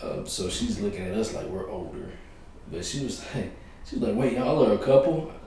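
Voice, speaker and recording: A man's voice: lowish voice, fairly quick. Laid-back man in a roomy, distant room. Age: 30s. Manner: relaxed, storytelling with quoted voices. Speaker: podcast host. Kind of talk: casual chat. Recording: roomy echo, distant mic, faint hiss.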